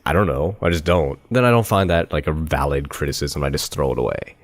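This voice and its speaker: A man hosting a podcast interview, relaxed and self-deprecating, hesitating with fillers. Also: rumbling low, brisk. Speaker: podcast host. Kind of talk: casual interview chat.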